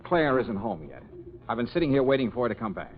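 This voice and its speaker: A man's voice: mid-range voice, big pitch swings, fast, close to an everyday voice. An actor playing an anxious, lovesick, self-pitying man in a 1940s radio drama, agitated and exasperated. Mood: worried. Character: anxious lovesick male private detective, self-pitying and flustered. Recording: dull, noisy old radio recording.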